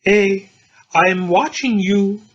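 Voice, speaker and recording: A man reading the part of a sober, prudent Oxford don who scolds and watches, in a husky voice. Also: sits high, slow pace, fairly bright tone, voice pushed up, heavily acted. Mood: admonishing. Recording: dull lo-fi home recording.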